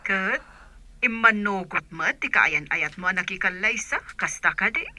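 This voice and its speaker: A woman's voice: medium pitch, lively intonation, unhurried, sharp tone, no room sound. An actress playing a practical, encouraging godmother with an Ilocano accent in a Filipino radio drama. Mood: concerned.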